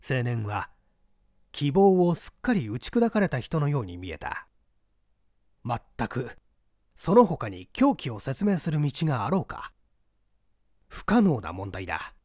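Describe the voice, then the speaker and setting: A man narrating a mystery story in a deliberate, dramatic reading style. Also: mid-range voice, darkish tone, no room sound, clean studio sound.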